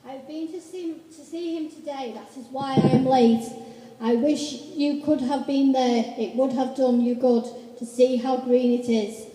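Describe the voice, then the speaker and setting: A gentle, devoted father and humble clerk is acted by a woman in a radio drama. The voice is high and mellow, ringing in an echoey hall. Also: steady tone, a little slow. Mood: grieving. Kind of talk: amateur stage radio play acting. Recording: echoey hall with long reverb.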